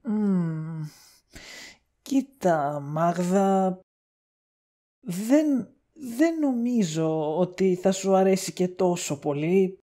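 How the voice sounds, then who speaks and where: Mellow, lively young film fan, played by a woman. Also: fairly low pitch, pauses often, lightly acted. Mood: hesitant. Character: devoted young film fan, warm, knowing friend. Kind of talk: Greek amateur audio drama acting.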